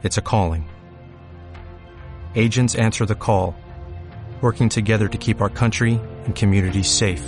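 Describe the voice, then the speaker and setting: A man's voice: lowish voice, steady tone, measured pace, long pauses, a little mellow, faint music behind. A man with an American accent reading a scripted recruitment advertisement as an announcer.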